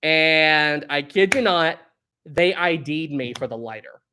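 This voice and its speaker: A man's voice: on the high side, slow, background noise. A man in his thirties hosting a casual live stream, chatting and reading viewer comments aloud, laughing often. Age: 30s. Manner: chatty, laughs often, reads chat aloud with playful bursts.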